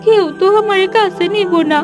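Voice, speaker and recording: A woman's voice: extremely high pitch, fairly even intonation, moderate pace, over music, pitched above the performer's own voice. A woman voicing a poor, dutiful village girl in a cartoon, plaintive and humble, with a rural Bangladeshi accent. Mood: humble pleading.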